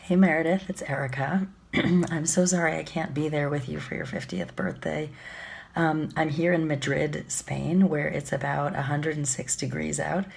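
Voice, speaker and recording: A woman in her late forties with a cold, leaving a halting, soft-spoken video message for a friend. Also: low-pitched, fairly even intonation, vocal fry. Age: late 40s. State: has a cold, scratchy voice.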